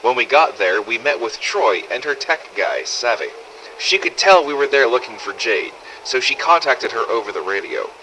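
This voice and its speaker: A man's voice: medium pitch, very animated, moderate pace, sharp tone, slight background noise, low-quality recording. A man playing a brash, foul-mouthed survivor of a zombie apocalypse, telling a scripted audio log in a blunt, sardonic, conversational way. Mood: subdued, determined. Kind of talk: scripted first-person audio log narration.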